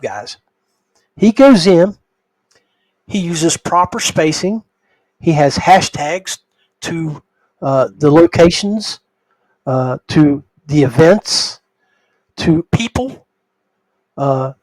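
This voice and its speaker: A man's voice: on the high side, animated, slow pace, pauses often, darkish tone, dry recording, poor audio. An older man hosting a podcast in a casual, folksy way, talking straight to his listeners. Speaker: podcast host.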